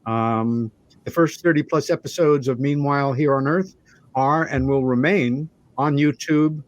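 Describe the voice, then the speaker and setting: A man with a mid-range voice hosts a talk show. He phrases things deliberately and keeps a conversational interviewing style. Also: medium pitch, no room sound, muffled recording.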